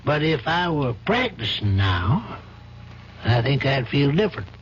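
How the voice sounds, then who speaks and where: An actor playing a calm, patient older judge in a radio drama, speaking in a measured, fatherly way. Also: medium pitch. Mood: reflective. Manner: measured, fatherly, gently probing. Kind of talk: 1970s radio drama acting. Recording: dull old radio broadcast, faint hiss.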